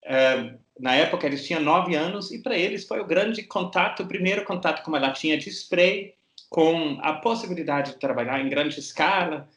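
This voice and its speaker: Hesitant museum curator, echoey room. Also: male voice, a little high, moderate pace, fairly bright tone. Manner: thoughtful, searching for words with many restarts. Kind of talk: interview answers. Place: art museum gallery.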